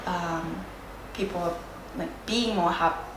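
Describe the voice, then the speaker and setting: A woman from Japan with a Japanese accent, a native Japanese speaker giving reflective, unscripted interview answers, hesitant and searching for words with frequent pauses. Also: lowish voice, fairly even intonation. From Sendai area, Japan. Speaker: interviewee, yoga and Japanese teacher. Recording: roomy echo, clear background noise.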